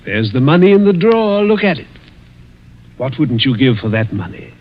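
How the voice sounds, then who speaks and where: An actor playing a suave, malicious older millionaire in a 1940s radio mystery, with silky, taunting, deliberate speech. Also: male voice, medium pitch, sing-song, slight background noise, lightly acted. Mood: taunting, sly. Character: suave, malicious, wealthy older stepfather plotting murder. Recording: muffled vintage 1940s radio recording.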